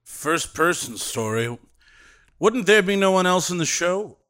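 Bright, forceful, brash theatre director. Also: male voice, high voice, lively intonation, measured pace. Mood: insistent. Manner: theatrical, self-assured, insistent. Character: brash, commanding young theatre director pitching radio shows. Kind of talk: 1930s period audio drama dialogue.

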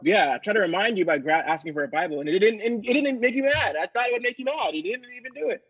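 A man with a high, raspy voice improvises comedy banter. He presses his points by repeating phrases. The sound is slightly dull. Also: animated, quick pace, a bit bright.

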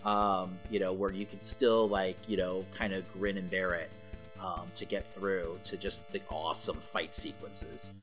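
A man's voice: low voice, flat delivery, unhurried, a bit bright, soft background music. A man co-hosting a podcast, chatting informally like a longtime fan. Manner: informal, nostalgic fan talk. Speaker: podcast co-host. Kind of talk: casual chat.

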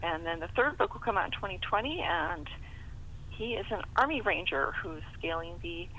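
Animated middle-aged woman, bright voice. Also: medium pitch, normal speed, creaky, slight background noise, poor audio. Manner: chatty, animated storytelling. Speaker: guest author being interviewed. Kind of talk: casual chat about writing.

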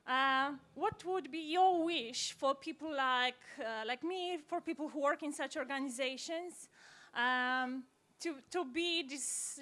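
A woman's voice: sits high, fairly bright tone. A woman from Poland, asking a long, earnest question from the audience and searching for her words.

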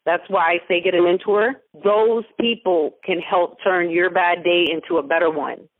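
A woman's voice: on the low side, fairly even intonation, moderate pace, no room sound. Motivational woman on a tinny phone line. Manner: emphatic, motivational, stacks repeated phrases. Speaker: guest, mentor and business founder. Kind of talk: interview over a phone line.

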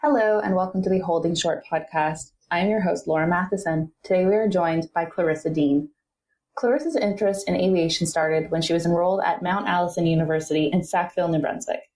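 A woman hosting a podcast, reading a smooth scripted introduction and asking interview questions. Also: fairly low pitch, brisk, distant mic. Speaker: podcast host. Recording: roomy echo, off-mic, soft music.

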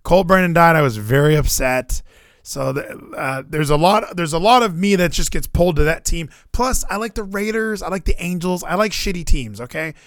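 A man's voice: on the high side, normal speed, no room sound, clean studio sound. An American man in casual sports chat, rambling and opinionated, swearing freely as he goes. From Hawaii, United States. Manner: rambling, animated, profane, opinionated.

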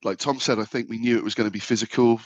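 A man's voice: mid-range voice, fairly even intonation, rapid-fire, husky, no room sound. A man co-hosting a football podcast in casual chat, talking with lots of fillers and restarts. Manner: chatty, many fillers and restarts. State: slight man flu. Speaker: podcast co-host.

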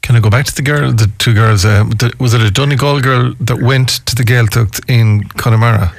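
A man's voice: medium pitch, fairly even intonation, fairly quick, vocal fry, dry recording. A man hosting a radio interview, chatty and given to restarts. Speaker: radio host.

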